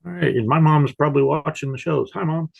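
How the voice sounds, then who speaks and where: A man's voice: on the high side, gravelly, lo-fi sound. A man co-hosting a casual music chat, tired from no sleep, with dry, self-mocking humor. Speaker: co-host.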